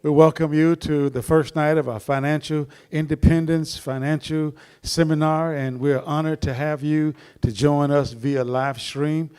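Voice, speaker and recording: A middle-aged man hosting a church seminar, introducing the speaker in a rambling, preacherly way with many pauses. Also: on the high side, steady tone, darkish tone, clean tone.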